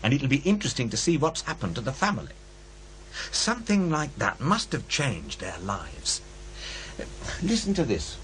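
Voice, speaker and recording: Sceptical, determined male presenter, expressive pitch. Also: normal speed, slight background noise, low-quality recording. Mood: keen. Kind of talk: radio drama dialogue.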